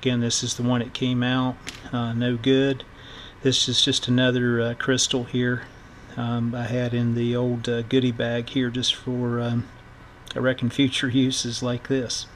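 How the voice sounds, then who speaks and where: A middle-aged man giving a casual electronics tutorial in a halting, thinking-aloud way, as the host of a repair video. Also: medium pitch, completely flat delivery. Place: indoor workbench. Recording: clear background noise.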